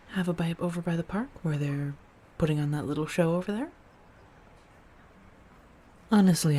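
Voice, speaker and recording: Female vampire roommate, played by a woman, ASMR roleplay: low, husky, soft-spoken, affectionate and protective, with wry elegance. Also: full character voice. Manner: slow, tender, gently theatrical, breathy murmurs.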